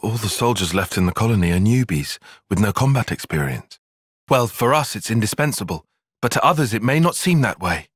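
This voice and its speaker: A young military strategist for a video game cutscene. He is guarded and bookish, choosing exact, formal words with dry sarcasm. His pitch moves in a lively way, the voice is slightly husky, and the recording is close and dry. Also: a little fast, no echo. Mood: analytical, measured. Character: brainy, guarded young male soldier-tactician, prickly and analytical.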